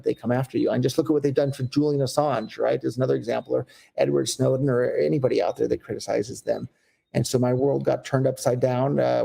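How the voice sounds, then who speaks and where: An American man speaks as an interview guest with a warm, darker tone and a gravelly edge. He talks candidly and loosely, full of fillers and restarts, and sits at a middle pitch. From United States. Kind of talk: casual interview talk.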